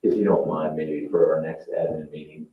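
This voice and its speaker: A man's voice: a bass voice, little pitch movement, moderate pace. A man chairing a public meeting as council president, calling votes and putting questions in procedural language. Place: indoor council meeting room. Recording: echoey, distant, dull meeting-room audio.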